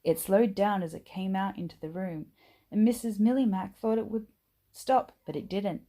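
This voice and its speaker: A woman reading a children's bedtime story aloud in an expressive, acted-out manner. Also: mid-range voice, moderate pace. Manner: animated, acts out dialogue and sound words. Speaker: storybook reader.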